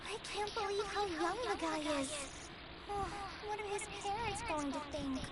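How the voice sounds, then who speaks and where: A woman acting a childlike, excitable, hungry little companion girl in a video game. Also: extremely high pitch, normal speed, very noisy. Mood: dismayed.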